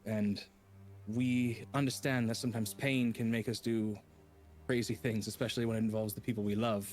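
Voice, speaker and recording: A man voicing a sympathetic young adventurer who speaks slowly and earnestly. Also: fairly low pitch, level tone, a little mellow, soft background music, lightly acted. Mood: consoling. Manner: slow, earnest, measured.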